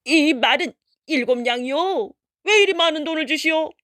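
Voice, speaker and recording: Lady playing a scheming market horse seller guy, coaxing, pitched up, folktale style, very dry audio. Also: moderate pace, fairly bright tone, dry recording. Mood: astonished. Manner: emphatic, coaxing. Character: sly, scheming male horse merchant.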